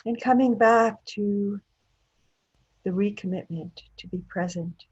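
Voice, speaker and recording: Slow, husky woman guiding meditation, muffled audio. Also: mid-range voice. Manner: gentle, unhurried, with long pauses between short phrases. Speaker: meditation teacher. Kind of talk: guided meditation.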